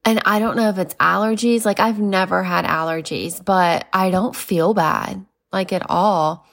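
Slow-talking congested woman in her thirties. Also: medium pitch, fairly even intonation, vocal fry, fairly bright tone. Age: 30s. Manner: chatty, lots of fillers and laughs. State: congested, nasal. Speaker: podcast host. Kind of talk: casual solo podcast chat.

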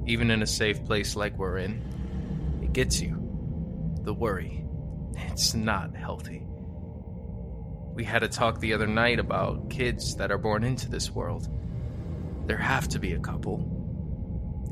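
A man performs a lonely survivor of a ruined world, speaking slowly over clear background music. He muses wistfully and haltingly, with a dry wryness, as if sending a message out in an audio drama. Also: fairly low pitch, natural voice. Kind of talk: audio fiction monologue.

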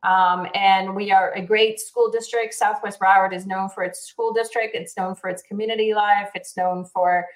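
A woman's voice: mid-range voice, steady tone, normal speed, hardly any pauses, low-quality recording. Guest realtor, woman in her forties, light South African accent, chatty and easygoing, laughs often. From Israel. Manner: chatty, laughs easily, many fillers. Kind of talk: casual interview answers.

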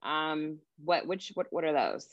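A woman's voice: deep voice, lively intonation, unhurried, lo-fi sound. A woman hosting a lifestyle interview segment with an upbeat, eager manner.

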